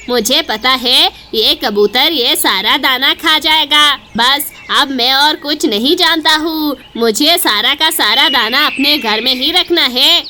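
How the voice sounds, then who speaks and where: A woman's voice: sits high, noisy background. A woman voicing an emphatic male crow in a cartoon story. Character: stubborn, distrustful male crow, later remorseful.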